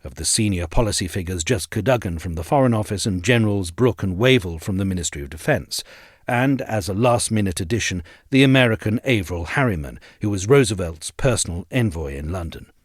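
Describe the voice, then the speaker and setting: Low-voiced male narrator with expressive intonation. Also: a little fast, no echo, clean studio sound. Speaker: narrator reading an essay aloud. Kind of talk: scripted narration of a history essay.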